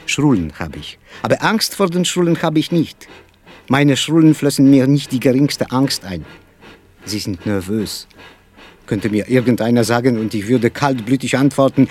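An actor playing an aging, nervous yet cheerful poet in an emphatic, courteous theatrical monologue. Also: male voice, medium pitch, a little mellow, lightly acted. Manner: emphatic, mannered, courteous, circling repetitive self-talk. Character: aging, slightly nervous, whimsical poet with quirks, defiantly cheerful. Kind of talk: theatrical literary monologue with music.

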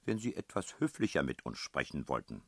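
A man voicing a quick-witted, unruffled male friend in an audiobook story, measured and politely ironic. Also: a bass voice, very animated, dry recording, studio quality, heavily acted.

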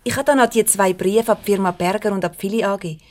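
An actress playing a polite but self-assured secretary in a 1970s radio comedy, matter-of-fact and gently pert, with a Swiss German accent. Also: female voice, mid-range voice, fast, close to an everyday voice. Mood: polite, apologetic.

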